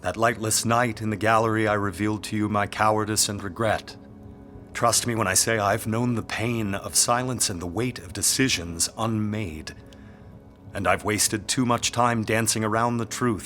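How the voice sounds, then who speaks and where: An actor playing a courteous, devoted lord in a period romance audio drama, with formal, tender and deliberate delivery. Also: male voice, fairly low pitch, fairly bright tone. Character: ardent, courteous aristocratic lord, regretful, devoted.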